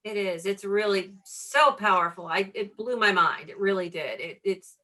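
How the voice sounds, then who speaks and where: A woman's voice: mid-range voice, moderate pace, pushed and bright. A woman co-interviewing in a casual chat, enthusiastic and jokey, gushing with praise.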